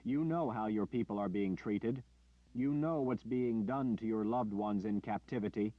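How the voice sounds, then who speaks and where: A man voicing a commanding male warrior leader who speaks forcefully and emphatically. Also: fairly low pitch, normal speed, no room sound, pitched above the performer's own voice, natural voice. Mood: urgent. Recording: dull dry lo-fi audio.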